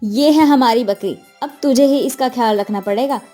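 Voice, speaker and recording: A woman's voice: a little high, normal speed, mellow, soft background music, close to an everyday voice. A woman voicing an elderly, hardworking village mother-in-law with a nagging, instructive manner in a cartoon moral story. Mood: firm explaining. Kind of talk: Hindi animated moral story dialogue.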